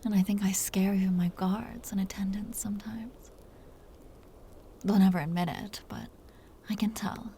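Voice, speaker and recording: Vampire countess played by a woman: possessive yet doting, breathy close-up whisper with a creaky edge, mellow and soothing, intimate ASMR roleplay. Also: mid-range voice, steady tone, a little hiss or hum, lightly acted. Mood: vulnerable, wistful. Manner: slow, soothing, teasing endearments.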